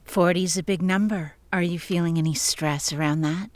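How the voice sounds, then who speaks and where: A woman acting a calm, clinical psychiatrist of sixty in an audio drama, measured and probing. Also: on the low side, dry recording, close to an everyday voice. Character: sixty-year-old female psychiatrist, impassive, measured, probing.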